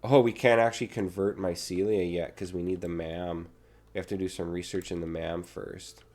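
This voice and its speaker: A young man with a cold and a raspy throat, casually talking through a video game as he plays. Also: sits low, moderate pace. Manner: relaxed, chatty, talks while playing. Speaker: gaming channel host. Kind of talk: casual gameplay commentary.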